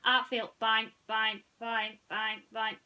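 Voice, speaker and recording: A woman's voice: fairly high pitch, level tone, slow, clean tone, highs cut off, pitched above the performer's own voice. A woman voicing a male electronic toy in a children's story, talking mechanically and getting stuck on repeats. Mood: stuck, cheerful. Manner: stuttering, repetitive, mechanical delivery.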